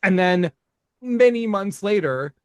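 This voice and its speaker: A man's voice: high-pitched, expressive, slow, clean tone, dry recording, studio quality. A middle-aged man co-hosting a movie podcast, rambling casually with restarts and swearing. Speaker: podcast co-host.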